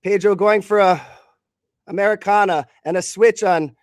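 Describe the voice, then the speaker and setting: A man's voice: high-pitched, fairly even intonation, moderate pace, no room sound, clean studio sound. A man doing live blow-by-blow radio commentary on a fight in short bursts.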